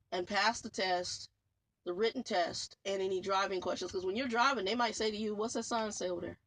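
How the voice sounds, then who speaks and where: A woman's voice: mid-range voice, fairly bright tone, rough recording. A woman truck driver vlogging in a casual, rambling way, repeating herself and calling her viewers y'all. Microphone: phone.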